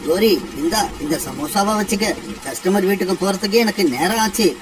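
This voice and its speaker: A woman's voice: medium pitch, a little fast, background noise, close to an everyday voice. A woman voicing a sneaky, timid male crow in a cartoon story. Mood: hurried. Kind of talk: dubbed cartoon dialogue.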